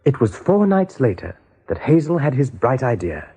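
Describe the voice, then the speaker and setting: An actor as the storyteller of a radio play, narrating in measured, dramatic phrases. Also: male voice, medium pitch, very animated, warm tone, husky, exaggerated voice. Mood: lively. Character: measured, suspenseful male storyteller.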